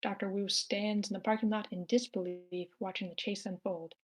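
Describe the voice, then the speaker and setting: A woman's voice: mid-range voice, flat delivery, moderate pace. A woman narrator reading screenplay stage directions aloud.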